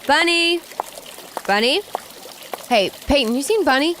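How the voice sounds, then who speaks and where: A woman's voice: high-pitched, lively intonation, unhurried, a bit bright, slight background noise. An actress playing a protective, brusque mother in a radio drama. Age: adult.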